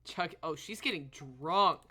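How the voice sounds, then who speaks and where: Casting: female streamer, casual and blunt commentary, low-pitched but sharp-edged voice, game music underneath. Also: measured pace. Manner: blunt, offhand, sweary. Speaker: live streamer.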